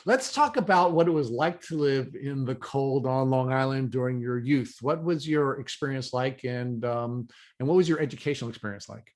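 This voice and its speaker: An American man from New York hosting a podcast interview, speaking in deliberate, formally phrased sentences. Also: medium pitch, darkish tone. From New York, USA.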